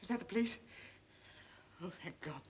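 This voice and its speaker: A young English man, shaken, worn out and earnest. It is old film acting on a clearly noisy soundtrack. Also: high voice, animated, moderate pace. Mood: urgent, exhausted. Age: young adult. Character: shaken, weary, urgent young accident survivor. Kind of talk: 1950s British film acting.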